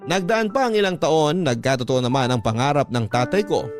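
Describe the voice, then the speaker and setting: A Filipino man narrating a humble life story as an earnest grown child in a radio drama, measured and sentimental. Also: mid-range voice, lively intonation, close to an everyday voice. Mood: reflective. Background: instrumental music.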